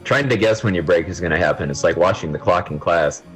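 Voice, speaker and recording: Man playing low-voiced, bombastic melodramatic student gamer. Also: fast, soft background music, lightly acted, rough recording. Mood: pompous. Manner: theatrical, grandiloquent ranting. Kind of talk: amateur audio drama acting.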